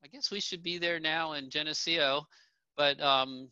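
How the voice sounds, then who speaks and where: A middle-aged man leads an online town hall from his home office, his very bright voice sitting a little high. He talks plainly, with ums and restarts along the way. The webcam sound is dull and dry. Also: fairly even intonation, measured pace, clean tone. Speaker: association president presenting at a town hall. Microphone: video call webcam. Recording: dull, dry video call audio.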